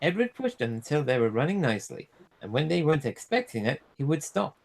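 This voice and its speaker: Male storyteller for kids' picture book, slightly high voice, very animated intonation. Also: brisk, creaky. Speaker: storyteller, streamer. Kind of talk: animated children's storybook reading.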